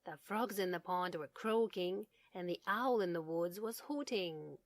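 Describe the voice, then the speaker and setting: A woman's voice: fairly low pitch, unhurried, dry recording. A woman narrating a children's story as a read-aloud. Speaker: storybook reader.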